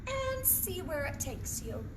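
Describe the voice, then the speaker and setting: Echoey, high, coaxing art teacher read by a woman. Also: sing-song, slow, heavily acted. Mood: gentle encouragement. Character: gentle, encouraging female art teacher. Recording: echoey room, distant mic, noisy background.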